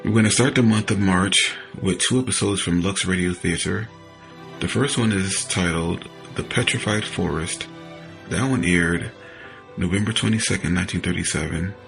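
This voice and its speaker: A man's voice: low-pitched, gravelly, fairly bright tone. A man hosting a podcast, reading a casual introduction to a show. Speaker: podcast host. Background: music.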